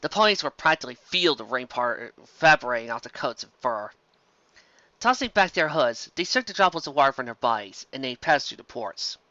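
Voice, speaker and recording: A man reading fantasy fiction aloud as an amateur storyteller, expressive, occasionally stumbling over words. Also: mid-range voice, fairly quick, dry recording, highs cut off.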